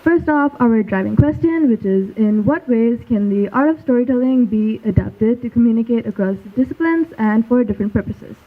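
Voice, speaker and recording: A young woman from Malaysia earnestly presents at a school showcase, reading steadily. Her voice is somewhat high. The audio is tinny and phone-like. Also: moderate pace, few pauses. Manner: earnest, steady recitation from notes. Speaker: student presenter.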